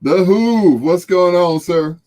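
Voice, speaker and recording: A man's voice: sits high, takes it slowly, dark tone. A middle-aged or older American man chatting casually and jovially with viewers on a livestream, with a folksy way of drawing out and repeating phrases. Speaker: livestream host.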